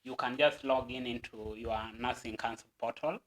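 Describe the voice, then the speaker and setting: A man's voice: medium pitch, fairly even intonation, normal speed. Earnest Kenyan man explaining step by step. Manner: earnest, explanatory, with stammered restarts. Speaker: guest. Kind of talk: explaining a process in an interview.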